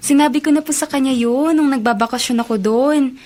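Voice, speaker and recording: An actress playing a polite, considerate young student daughter in a radio drama. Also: female voice, high-pitched, moderate pace, a little mellow. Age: young adult.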